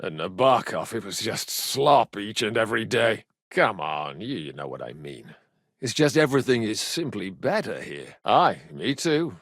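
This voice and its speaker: Medieval mercenary, gruff, sardonic, earthy, hard-drinking, very lively intonation, dry studio sound, video game dialogue. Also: male voice, fairly low pitch, moderate pace, dry recording, exaggerated voice. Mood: casual, grumbling. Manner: earthy, blunt, swearing, wry.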